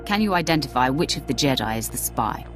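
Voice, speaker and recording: Casting: imperious Sith warrior woman for a game, low register, cool, curt and assured. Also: lively intonation, fast, music in the background. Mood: brisk, confident. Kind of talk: video game cutscene acting.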